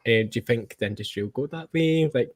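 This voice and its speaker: A man hosting a casual chat interview, talking in an informal, animated way and often cutting into his own sentences. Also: medium pitch, quick pace. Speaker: podcast host.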